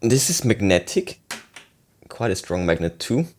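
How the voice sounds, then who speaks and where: Male tech reviewer, lower register, casual and enthusiastic, given to exclaiming. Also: sing-song, a little slow, slight background noise. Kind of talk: casual unboxing and review talk.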